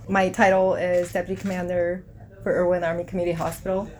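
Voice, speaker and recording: A woman's voice: on the low side, level tone, fast, a little mellow. A middle-aged woman from South Korea, an army hospital deputy commander, earnestly answering interview questions while searching for her words.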